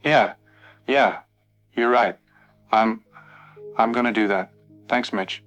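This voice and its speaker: A man's voice: mid-range voice, slow, a bit bright, soft background music. A nervous night-shift motel clerk calling a radio host. He stammers and trails off, anxious and unsure. His voice sits in an ordinary middle range. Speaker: caller.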